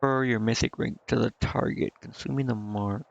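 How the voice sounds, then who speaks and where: A man streaming a video game, casually thinking out loud and reading menu text to himself, with some offhand swearing. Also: medium pitch, steady tone, a little fast, vocal fry, no room sound, lo-fi sound. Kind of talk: casual live gameplay commentary, muttering and reading menu text aloud.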